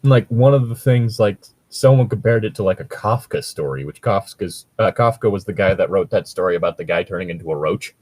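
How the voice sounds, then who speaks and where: Male podcast co-host, fairly deep, halting and sweary off-the-cuff commentary, very little room sound. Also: darkish tone, no room sound. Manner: hesitant, filler-heavy, casually sweary. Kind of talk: casual chat commentary.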